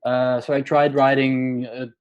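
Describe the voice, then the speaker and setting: A man's voice: medium pitch, flat delivery, a little slow, smooth, dull audio. A young man from Norway in his twenties, answering interview questions in a casual, hesitant way with many fillers and restarts. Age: 20s. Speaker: guest poet.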